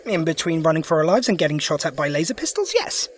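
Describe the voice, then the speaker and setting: An actor playing a sharp, principled alien adventurer in an audio drama, brisk and inquisitive. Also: male voice, on the high side, sing-song, slight background noise. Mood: thoughtful, brisk. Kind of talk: full-cast audio drama acting.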